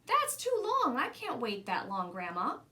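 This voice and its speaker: Storybook little girl, played by a woman: impatient, eager, high voice with swooping intonation. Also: huge pitch swings, moderate pace, sharp tone, exaggerated voice. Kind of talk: children's storybook read-aloud.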